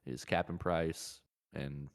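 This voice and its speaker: Casting: male podcast co-host, low-pitched voice, blunt and informal, comfortable cursing in loose gaming chat. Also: steady tone, slow pace, no room sound, clean studio sound. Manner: blunt, casual swearing.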